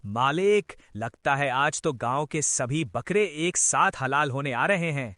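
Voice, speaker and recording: A man voicing the moneylender's fawning servant in a cartoon story, who flatters his master and sneers at others. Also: medium pitch, a little slow, dry recording. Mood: sneering.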